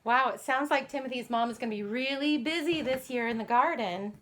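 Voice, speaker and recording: High-pitched woman hosting for young children. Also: brisk, a bit bright. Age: adult parent. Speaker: children's puppet show host. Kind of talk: addressing young children, scripted puppet dialogue.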